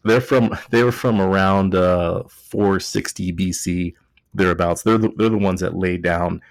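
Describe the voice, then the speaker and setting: A man's voice: deep voice, fairly even intonation, measured pace, vocal fry, no echo. A man with a Texan accent hosting a podcast, a few beers in, rambling and joking as he corrects himself. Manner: rambling, jokey, self-correcting. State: tipsy from beer. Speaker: podcast host. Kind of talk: casual joking chat.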